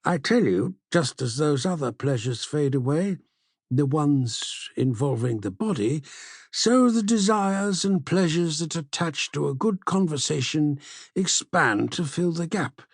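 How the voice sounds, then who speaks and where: Guy doing a really old friendly dad in an audiobook, pitched up, lively, kindly pushing you to visit. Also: measured pace, slightly rough, no room sound, clean studio sound, heavily acted. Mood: welcoming, insistent. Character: very old Greek father, sociable, welcoming host.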